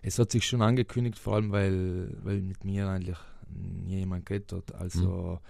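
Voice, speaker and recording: Hesitant South Tyrolean man, low gravelly voice. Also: level tone, moderate pace, dark tone, dry recording, clean studio sound. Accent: South Tyrolean German. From South Tyrol, Italy. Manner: hesitant, many fillers and restarts. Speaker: interviewed footballer. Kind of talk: casual interview answers.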